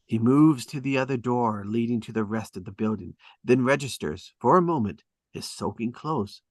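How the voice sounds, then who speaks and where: A man's voice: mid-range voice, moderate pace, no echo, studio quality. A man reading stage directions as dramatic scripted narration at a table read.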